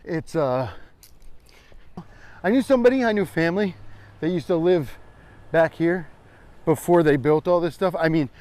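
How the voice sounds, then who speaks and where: Playful, higher-pitched American man rambling. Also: expressive, normal speed, long pauses, a little mellow. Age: 40s. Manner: playful, irreverent, swears freely. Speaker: host of a walking vlog. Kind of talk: casual rambling comedic vlog chat. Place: outdoors, walking on a trail.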